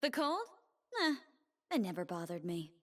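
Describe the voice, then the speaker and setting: An actress playing a stern, proud female warrior in a fantasy video game, with dramatic, measured delivery and dry quips. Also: lowish voice, sing-song, fairly bright tone. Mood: cold, dry. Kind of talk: video game character voice lines.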